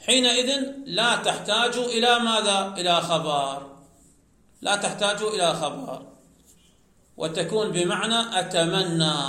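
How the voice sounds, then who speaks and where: Male teacher with a high voice lecturing on grammar and quizzing the class, recorded in an echoey room. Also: pushed and bright. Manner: slow, explanatory, asks the class questions then answers them.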